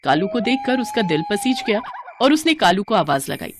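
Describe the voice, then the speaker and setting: A woman's voice: mid-range voice, sing-song, normal speed, slight background noise. A woman narrating a scripted children's moral story as storyteller.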